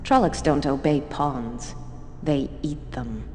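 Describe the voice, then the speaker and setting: An actress playing a stern, commanding sorceress in a fantasy video game, cold and scornful in manner. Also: female voice, low voice, slow pace, a little mellow. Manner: imperious, scornful. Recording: long stone-hall reverb under music.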